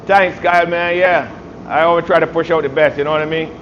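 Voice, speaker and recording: A man's voice: fairly high pitch, fairly even intonation, fast talker, fairly bright tone, dull audio, slight background noise. A man from Barbados with a Barbadian accent, chatting casually on a live stream while doing body work in an auto shop. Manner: laid-back, repeats "man" and "you know what I mean".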